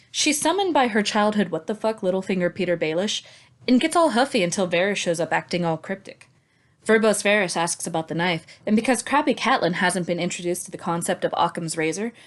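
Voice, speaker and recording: A woman hosting a book discussion in a sardonic, casually sweary way. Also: fairly low pitch. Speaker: podcast host.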